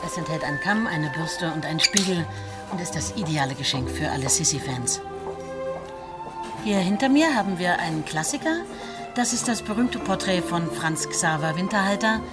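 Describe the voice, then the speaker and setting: A woman's voice: deep voice, close to an everyday voice. Woman playing an eager shop presenter over music. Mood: salesy. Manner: salesy, listing products and prices. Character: eager female souvenir seller pitching imperial kitsch. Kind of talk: shopping presentation in a radio play.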